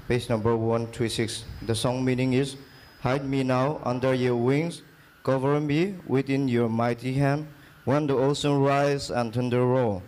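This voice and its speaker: Man, church announcer, middle pitch, slow careful reading, chapel hall. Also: darkish tone. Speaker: service announcer. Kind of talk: announcing and reading a song translation.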